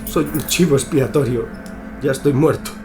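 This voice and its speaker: A man's voice: medium pitch, moderate pace, music in the background, lightly acted. An actor playing a desperate young male prisoner protesting in an audio docudrama, pleading. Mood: doomed.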